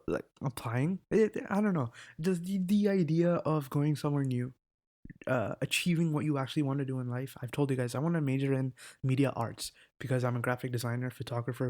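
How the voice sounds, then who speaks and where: A man's voice: medium pitch, a little mellow. A teenage boy chatting casually in a rambling, self-interrupting vlog, swearing now and then, into a desk mic. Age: teens. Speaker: vlogger. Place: indoors at a laptop.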